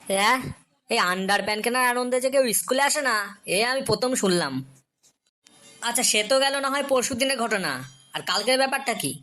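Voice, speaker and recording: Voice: mid-range voice, a little fast, pauses often, pushed and bright, soft background music, close to an everyday voice. An actor playing an irritable, scolding schoolteacher in a comedy cartoon, questioning and threatening the pupils in an exasperated way. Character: irritable, scolding school teacher, addressed as sir.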